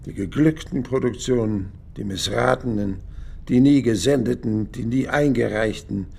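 An actor playing a reflective, disillusioned elderly radio dramatist in a monologue. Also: male voice, mid-range voice, normal speed, gravelly, darkish tone, breathy edge. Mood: reflective, resigned. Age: older.

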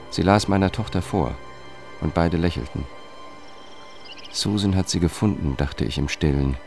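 A very low, weary male voice tells an investigator's story in a radio drama. He is measured and melancholic, holding back emotion, with clear music behind him. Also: darkish tone. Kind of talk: radio drama narration and dialogue.

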